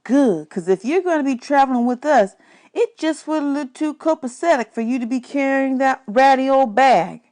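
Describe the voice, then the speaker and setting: A woman's voice: sits high, normal speed, a little mellow, pitched above the performer's own voice, lightly acted. A woman voicing an easygoing, kindly male jazz musician who mentors a boy in a storybook. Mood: frank, probing.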